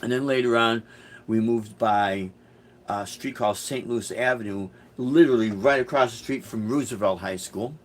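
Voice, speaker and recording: A man's voice: medium pitch, moderate pace. A middle-aged man from Chicago chatting casually on a live stream, answering viewer comments in a rambling, hesitant way with many restarts. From Chicago, USA. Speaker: musician hosting a live stream.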